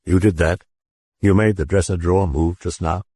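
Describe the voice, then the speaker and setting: A calm, steady priest, read by a man asks his questions in a plain, low, slightly husky voice. The delivery is measured and clipped close to the mic. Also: no room sound. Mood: probing. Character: calm, probing male priest.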